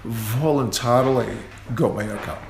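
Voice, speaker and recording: Slow, wry middle-aged man. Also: mid-range voice, vocal fry, soft background music. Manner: unhurried, self-deprecating, wry. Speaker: guitarist guest. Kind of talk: radio interview. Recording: roomy echo.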